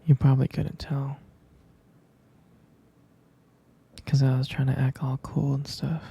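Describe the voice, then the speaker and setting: Man acting as devoted possessive boyfriend, ASMR roleplay, very breathy, dark mellow tone, hesitant intimate murmur. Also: mid-range voice, full character voice. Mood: affectionate, nostalgic. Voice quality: breathy, gravelly, half-whispered. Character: jealous, possessive but tender, devoted boyfriend.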